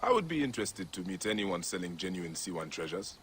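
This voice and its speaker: Stern, animated warrior hero. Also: male voice, low-pitched, brisk, a little hiss or hum. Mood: wary. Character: stern, righteous male avenging warrior-lawman hero. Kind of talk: video game character acting.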